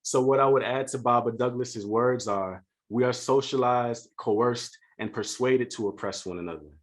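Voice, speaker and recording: A man from Louisiana giving a prepared keynote speech for a virtual conference, speaking in an earnest, measured and deliberate way. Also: mid-range voice. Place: home setup for a virtual conference.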